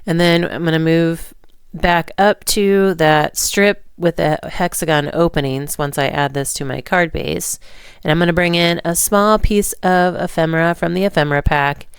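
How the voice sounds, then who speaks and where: A woman narrating a card-making tutorial in relaxed, rambling steps, hoarse and stuffy from a cold. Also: lowish voice, normal speed, dry recording. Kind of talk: voice-over craft tutorial.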